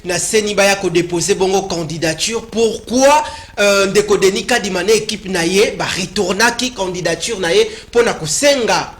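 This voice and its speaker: A man's voice: high voice, moderate pace, few pauses, fairly bright tone. A man with a Congolese French accent hosting a political talk show, guiding the guest with questions and short acknowledgements in a mix of French and Lingala. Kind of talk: interviewing a guest.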